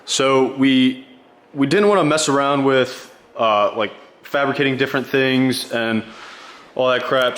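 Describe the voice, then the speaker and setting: A man's voice: medium pitch, bright, forceful tone, slight background noise. A man hosting a casual how-to vlog, talking in a laid-back, rambling way with lots of fillers. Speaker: channel host. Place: garage.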